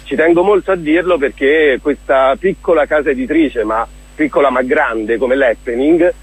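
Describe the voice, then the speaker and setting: A man being interviewed on the radio, talking with open enthusiasm and expression. His mid-pitched voice is a little husky and unpolished, and the sound is dry and close. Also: moderate pace, no room sound, low-quality recording. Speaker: interview guest, theatre director. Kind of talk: radio interview, casual promotional talk.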